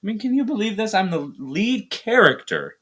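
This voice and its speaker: A man's voice: high-pitched, big pitch swings, moderate pace, pushed and bright, breathy edge. A man reading a content disclaimer, then complaining that he was left out of the episode. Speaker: lead actor delivering a comic disclaimer. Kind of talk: mock-indignant scripted disclaimer.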